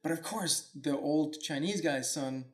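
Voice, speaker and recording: A man's voice: fairly high pitch, unhurried. A man from Denmark, hosting his own show in an earnest, reflective, casual way. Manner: earnest, reflective, loose with fillers like "like". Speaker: podcast host. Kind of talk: solo motivational talk.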